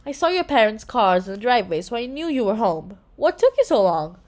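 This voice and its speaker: A forthright young girl from a storybook, chatty and blunt, voiced by a woman reader. Her voice is high and swings in a lively way as she talks. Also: a little fast.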